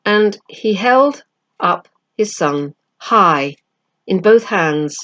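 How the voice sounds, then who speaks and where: A woman reading a children's story aloud with measured narration. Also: mid-range voice, animated, fairly bright tone, dull audio. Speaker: storybook reader.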